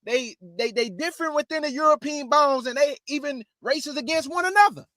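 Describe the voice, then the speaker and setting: A man's voice: very high voice, a little fast, pushed and bright, no room sound, clean studio sound. A man hosting his show, giving casual religious commentary in a preachy way and calling his listeners family. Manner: preachy, addresses audience as family. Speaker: host.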